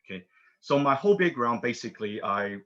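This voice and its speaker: A man's voice: mid-range voice, expressive, a little fast, a bit bright. A man from Taiwan introducing himself on an online panel, often restarting and repeating words. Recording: dull lo-fi video call audio.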